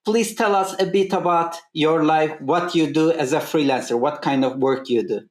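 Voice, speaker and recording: A man hosting a podcast, introducing his guest and asking plain, measured questions. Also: on the high side. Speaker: podcast host. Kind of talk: hosting an interview. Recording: echoey room.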